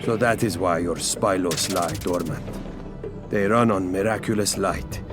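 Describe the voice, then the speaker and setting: Man acting a slow, grave old royal advisor. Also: low voice, over music. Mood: ominous. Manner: measured, ominous, deferential. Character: grave old male advisor to a villain king. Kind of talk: scripted audio drama acting.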